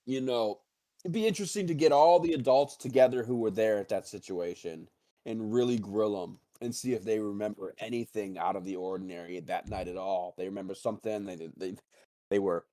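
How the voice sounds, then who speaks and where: A man with a fairly low voice talks in a casual, speculative way. He rambles and doubles back on phrases as a panelist would in loose discussion. The sound is dry, with little room around it. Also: dark tone, no room sound. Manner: rambling, halting with repetitions. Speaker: talk show panelist.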